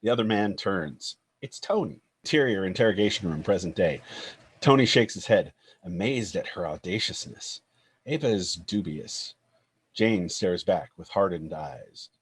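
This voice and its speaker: A man narrates a screenplay, reading out scene headings and action lines. His voice is fairly low with a noticeably husky, unclear grain. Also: expressive, normal speed. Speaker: narrator reading stage directions.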